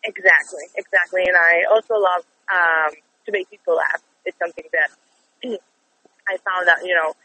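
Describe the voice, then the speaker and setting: Israeli woman, late twenties, very bright voice, laughs often, halting phrasing, faint background noise. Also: on the low side, fairly even intonation, poor audio. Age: 20s. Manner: giggly, halting, searching for words. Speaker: guest performer. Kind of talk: casual interview chat.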